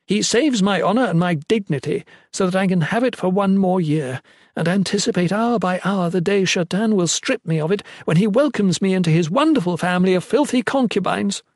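A man voicing a high-born young woman in an audiobook, bitter, imperious and anguished, speaking with theatrical, sarcastic emphasis. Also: a little mellow, no room sound, clean studio sound.

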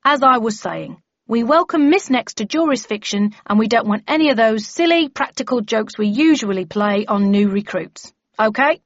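A woman voicing a stern, brisk bellman who chairs a meeting in an officious, declaiming manner, in an audiobook story. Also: on the high side, animated, no room sound, dull audio, voice pushed up.

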